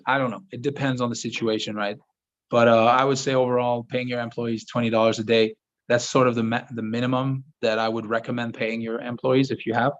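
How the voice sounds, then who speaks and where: French-native guy doing a live q&a, easygoing and rambly, lots of ums, kinda muffled stream audio. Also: mid-range voice. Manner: relaxed, rambling, with frequent fillers and restarts. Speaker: host of a live Q&A. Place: home office in Southern Ecuador.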